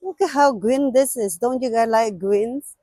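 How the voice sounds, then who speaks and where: High-voiced, slow-talking woman vlogger, non-native English. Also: warm tone, no room sound, clean studio sound. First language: not English. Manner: exclamatory, simple halting phrasing in non-native English. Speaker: travel vlogger. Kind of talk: casual sightseeing vlog, talking to viewers. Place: outdoors at a windy mountain lookout.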